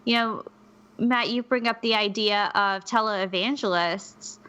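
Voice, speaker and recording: A woman with a bright, clear voice co-hosts a casual podcast. She talks in an earnest, reflective way and laughs lightly now and then. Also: mid-range voice, measured pace. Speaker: podcast co-host.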